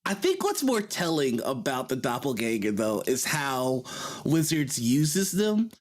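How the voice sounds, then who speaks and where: A man's voice: mid-range voice, huge pitch swings, measured pace. A man speaking as an enthusiastic, explanatory panelist in a casual tabletop gaming discussion.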